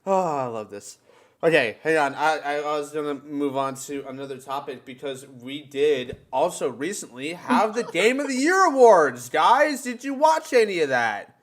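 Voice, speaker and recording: A man's voice: fairly high pitch, animated, normal speed. A man hosting a live stream with casual, profane group banter and crude joking.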